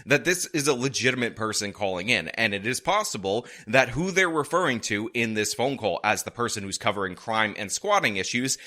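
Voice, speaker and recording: A man delivering a political commentary segment with a bright, animated voice. He talks in a scornful, emphatic way, hitting his points hard as he reacts to the clip. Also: medium pitch, fairly quick, few pauses.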